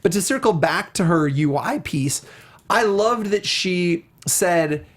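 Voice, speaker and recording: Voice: medium pitch, expressive, slow pace. A talk show host in a casual review discussion, speaking with gushing enthusiasm and often rambling off mid-thought.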